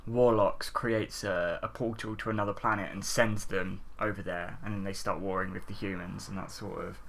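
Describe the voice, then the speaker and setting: A man's voice: lowish voice, steady tone, moderate pace, vocal fry, fairly bright tone. A man co-hosting a podcast, explaining things in a casual, conversational way with some tentative hedging. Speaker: podcast co-host. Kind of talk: casual film discussion.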